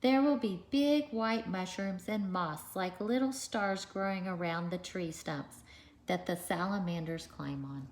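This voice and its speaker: A woman's voice: on the low side, measured pace, darkish tone, a little hiss or hum. A woman voicing an eager, imaginative, earnest little boy in a storybook reading. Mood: eager, dreamy. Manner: earnest, enthusiastic.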